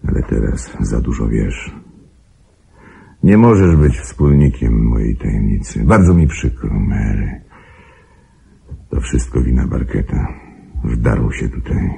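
A calculating murderer in a radio drama. His extremely low, dark voice is thick with creak and rasp as he justifies himself with menacing regret. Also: expressive, takes it slowly, pauses often, exaggerated voice. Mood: cold, regretful. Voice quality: very deep, gravelly, husky. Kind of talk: radio play acting.

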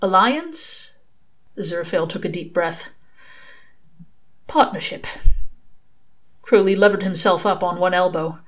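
A woman's voice: fairly low pitch, fairly even intonation, fast talker, few pauses. Wry woman narrating a story. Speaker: author reading their own fan fiction.

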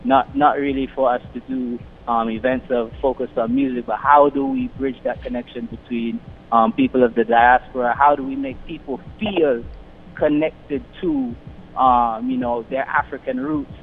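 A man's voice: medium pitch, moderate pace. A young man who grew up in London and the Caribbean, a radio guest talking over the phone with many fillers and restarts. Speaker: radio guest, community organization founder. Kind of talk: casual interview over the phone. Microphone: phone line. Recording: tinny phone line with faint noise.

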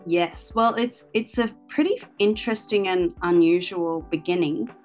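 A woman in her fifties talks through her teaching career with a chatty, storytelling manner. Her voice sits a little low. Soft music plays quietly behind her. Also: normal speed. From Australia. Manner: chatty, storytelling with many ums. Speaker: guest, language teacher and author. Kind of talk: interview answers.

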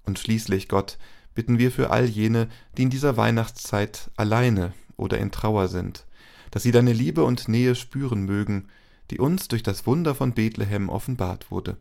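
A man with plenty of vocal fry speaks in a lowish register. He leads liturgical prayer and blessing in a solemn way, setting out each phrase deliberately. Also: a little mellow. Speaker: service leader, reads intercessions and blessing.

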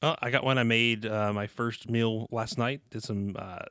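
A man's voice: mid-range voice, normal speed, no room sound, clean studio sound. A man in his thirties with a Southern American accent, chatting casually as a podcast co-host and rambling with fillers. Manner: relaxed, rambling with fillers and laughs. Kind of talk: casual chat.

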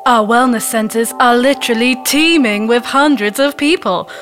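A woman's voice: on the high side, moderate pace, fairly bright tone, soft background music, lightly acted. A woman playing a polished, promotional announcer in a comedy spa advert, reading scripted voice-over. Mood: salesy, upbeat.

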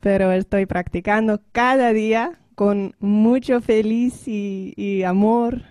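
A woman's voice: medium pitch, fairly even intonation, takes it slowly, darkish tone, dry recording, clean studio sound. A woman from the Netherlands, a guest speaking careful learner's Spanish in short phrases and laughing often between them. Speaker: guest singer. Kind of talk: halting learner's Spanish with translation pauses.

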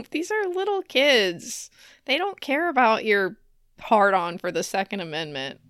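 Laid-back chatty woman, unhurried and clear. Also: a little high, lively intonation, a bit bright, no room sound, studio quality. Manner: wry, laughs often. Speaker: podcast co-host. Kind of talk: casual chatty storytelling.

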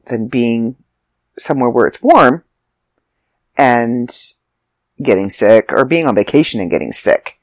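A stuffy, slightly raspy storyteller rambling through a casual travel story, circling back and correcting themselves as they go. The voice sits in a middling register. It is recorded close and dry. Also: mid-range voice, no echo. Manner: chatty, rambling, self-interrupting. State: congested, raspy from allergies. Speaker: vlogger. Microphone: close mic, audio only.

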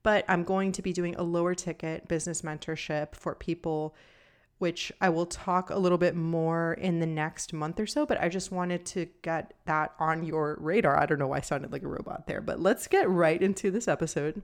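Woman podcast host, young adult, calm and even, lowish voice, solo casual talk. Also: normal speed. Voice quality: calm, somewhat monotone, deeper voice. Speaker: podcast host and nutrition coach.

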